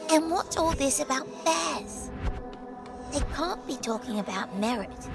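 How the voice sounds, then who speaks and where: A stubborn, frightened little girl played by a woman, high-pitched and very animated. She sounds indignant and emotional in a dark audio play, with loud music beneath. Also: a little slow, vocal fry, full character voice. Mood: anxious, puzzled. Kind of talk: dramatic audio fiction acting.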